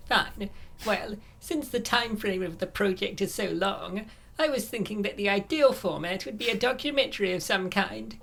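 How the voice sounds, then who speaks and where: A woman's voice: medium pitch, normal speed, creaky, fairly bright tone. A woman voicing a confident, scheming young woman, the helpful best friend in a comic dub. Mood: matter-of-fact, scheming. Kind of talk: comic dub character acting.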